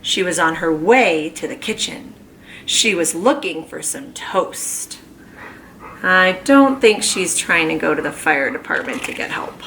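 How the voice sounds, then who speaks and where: A kindergarten teacher reads a picture book aloud slowly and expressively, her pitch swinging widely. Her voice is bright and clear. A faint hum sits behind her. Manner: animated, slow and expressive storytelling. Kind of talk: reading a children's book aloud. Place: at home.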